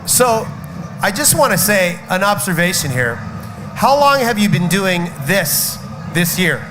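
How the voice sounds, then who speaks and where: A man's voice: high voice, sing-song, a little slow, background noise. A man who sings in a rock band, talking casually and emphatically, with a slight cough and cold. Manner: outspoken, emphatic, swears. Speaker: guest rock singer. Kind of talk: casual festival chat. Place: festival backstage area. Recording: loud music behind.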